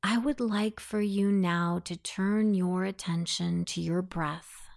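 Very slow, gravelly woman guiding meditation. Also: on the low side, steady tone, darkish tone. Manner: soothing, deliberate, instructing. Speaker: guided meditation narrator. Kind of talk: slow guided meditation narration.

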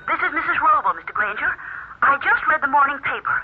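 Earnest, concerned wife, extremely high-pitched, tinny phone line in a vintage radio serial. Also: female voice, animated, fast, background noise. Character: worried, questioning wife of an injured man. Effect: telephone filter. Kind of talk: 1940s radio drama phone dialogue.

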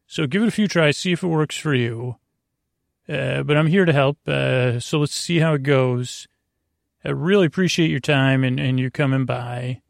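A man with a gravelly, creaky voice talks very close and dry, as if hosting a bedtime show. His delivery is halting and meandering, drifting through mumbly, whimsical tangents meant to send listeners to sleep. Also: medium pitch, dry recording. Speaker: podcast host.

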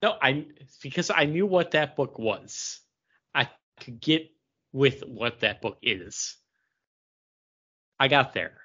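A man's voice: medium pitch, animated, slow pace, pauses often, bright, forceful tone, slightly rough, dull audio. A man co-hosting a podcast, chatting casually about comic books in a joking, self-deprecating way. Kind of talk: casual comic book review chat.

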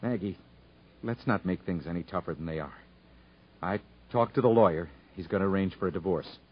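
An actor playing a proud, stubborn rural husband convicted of murder, with plain folksy speech, in a 1950s radio drama. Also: male voice, low-pitched, fairly quick, slightly rough. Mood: resigned, somber. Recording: dull 1950s radio broadcast recording.

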